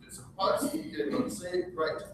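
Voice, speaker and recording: A man's voice: sits high, big pitch swings, a little fast. An Australian man presenting at a business breakfast seminar, upbeat and quizzing his audience. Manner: upbeat, engages audience with questions. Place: meeting room with audience. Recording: echoey room, far from mic, background noise.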